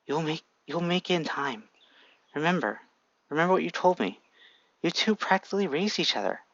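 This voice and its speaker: A man voicing a gentle middle-aged mother, speaking softly and soothingly. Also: fairly high pitch, lively intonation, normal speed, dull audio.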